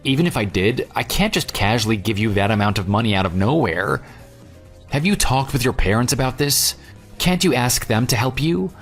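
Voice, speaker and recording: A man's voice: medium pitch, lively intonation, moderate pace, soft background music, lightly acted. A man playing a jilted but forgiving ex-fiance in a radio drama, earnest and questioning. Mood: taken aback.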